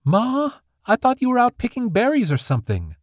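A man voicing a rebellious young girl fairy, speaking in a high, squeaky, emphatic voice. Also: huge pitch swings, moderate pace, no room sound, clean studio sound, full character voice. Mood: nervous.

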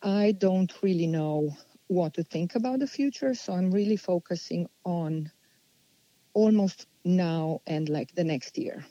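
A woman, answering interview questions unhurriedly and pausing as she thinks. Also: on the low side, mellow, dry recording, highs cut off. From Slovenia. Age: 40s. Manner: unhurried, thoughtful, with many pauses and restarts. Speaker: guest.

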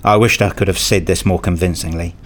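A man's voice: low-pitched, fairly even intonation, brisk. A man playing a self-deprecating, nerdy father who tells his own story in a radio play in wry, formal wording. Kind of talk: first-person radio play narration.

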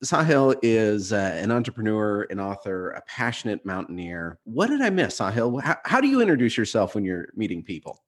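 A man's voice: fairly low pitch, very animated, fairly quick, hardly any pauses. A man hosting an interview podcast over a video call, asking loose, friendly questions that he often restarts. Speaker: podcast host.

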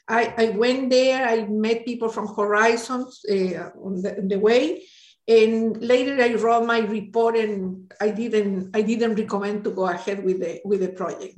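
Older Chilean woman, higher voice, roomy sound. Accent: Chilean Spanish. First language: Spanish. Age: late 60s. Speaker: interview guest, retired NGO director. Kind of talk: reminiscing in an interview.

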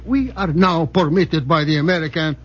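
An actor playing a respectful old Italian farmer with an Italian accent and halting, broken English in a 1940s radio drama. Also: male voice, on the high side, a bit bright, slight background noise, lightly acted. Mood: pleading, puzzled. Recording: dull vintage radio recording.

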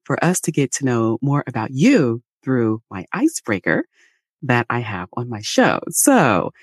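A woman hosting a podcast interview, encouraging and animated, laughing often. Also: rumbling low, a little slow, slightly rough, no room sound, clean studio sound. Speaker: podcast host. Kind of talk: friendly interview chat.

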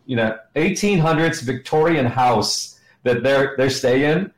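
A man's voice: mid-range voice, animated, measured pace. A middle-aged American man teaching conversationally as an interview guest, enthusiastic and repeating himself for emphasis. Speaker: interview guest, ministry educator. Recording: roomy, echoing sound.

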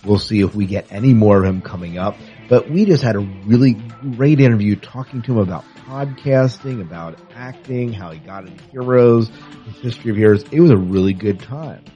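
A man's voice: on the low side, a little fast, dark tone, muffled recording, soft background music. A man hosting a podcast in a casual, conversational interview style. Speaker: podcast host.

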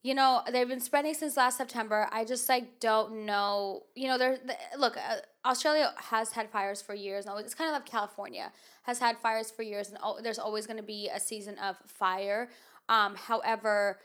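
A woman's voice: a little high, fairly even intonation, normal speed, fairly bright tone. A woman hosting a podcast in casual chat, with a nasal voice and a rambling, filler-heavy way of talking. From United States. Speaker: podcast host.

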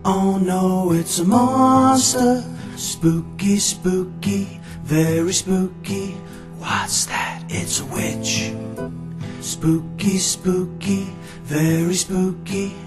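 Female singer, playful singsong children's song, slow, over guitar. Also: lowish voice. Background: guitar music.

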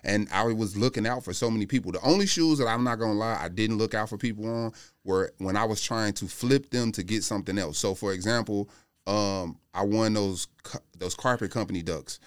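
A man's voice: fairly low pitch, brisk, smooth, no room sound, clean studio sound. A man chatting casually on a podcast, telling rambling, slangy anecdotes as a panelist. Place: podcast studio.

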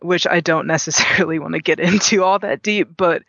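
Male interview guest, earnest, gravelly and fairly high-pitched. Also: a little fast, sharp tone, dry recording, muffled recording. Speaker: guest, gaming community organizer. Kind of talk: interview conversation.

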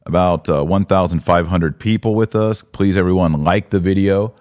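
Male podcast host, deep voice, dry close sound. Emphatic, colloquial, opinionated live commentary. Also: normal speed, a little mellow, dry recording. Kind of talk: live commentary and casual discussion.

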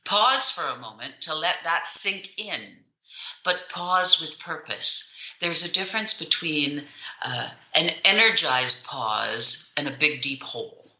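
A woman with a low speaking voice that still cuts through brightly. She coaches on public speaking in an emphatic, deliberate way, leaning on pauses and changes of tone. The recording has an echoey room around her. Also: animated. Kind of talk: interview answers, instructive.

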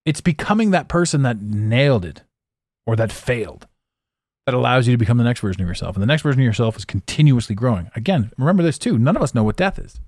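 A man hosting a solo podcast, talking intensely and repeating words as his voice swings between loud and quiet. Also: mid-range voice, animated, fast talker, dry recording, studio quality. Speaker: podcast host.

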